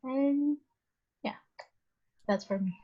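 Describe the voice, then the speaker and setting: A woman's voice: high-pitched, takes it slowly. A nineteen-year-old woman introducing herself to a podcast as a student, somewhat hesitantly. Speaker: guest, business student. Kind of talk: casual self-introduction. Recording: dull lo-fi sound.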